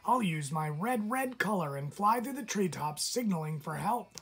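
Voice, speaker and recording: A woman voicing a self-important cardinal in a storybook, husky and announcing. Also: on the low side, animated, normal speed, voice pushed up. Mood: proud. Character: proud helpful red cardinal.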